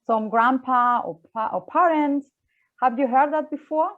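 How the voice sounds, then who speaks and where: A woman from Argentina, explaining her research to schoolchildren in halting English full of fillers. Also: high voice, narrow, tinny audio. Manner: halting, with fillers and small slips. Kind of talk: simple explanatory school talk.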